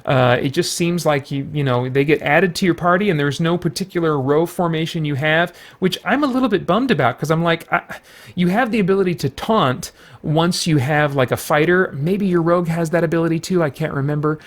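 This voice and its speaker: A man's voice: fairly high pitch, brisk. Rambling man reviewing a game. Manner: rambling, frequent fillers and restarts. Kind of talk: casual spoken review.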